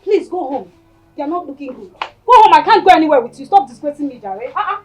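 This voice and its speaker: A woman plays a blunt younger sister who mocks and scolds without letup. Her voice sits very high and swings a lot. Soft music plays underneath. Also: expressive, quick pace. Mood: scolding. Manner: mocking and scolding. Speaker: actor in a comedy skit. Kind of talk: comic skit acting.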